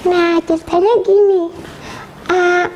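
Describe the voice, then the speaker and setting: A young girl telling a simple story in a halting, sing-song way. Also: very high voice, level tone, a little mellow. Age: child. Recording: dull lo-fi sound, faint noise.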